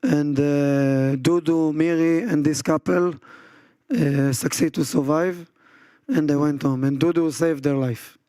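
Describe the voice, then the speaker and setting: A man's voice: a little high, steady tone, slow pace, few pauses, dark tone, clear, resonant tone, dry recording. A man telling a story to an audience in simple, broken English. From Israel. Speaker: speaker at a public event.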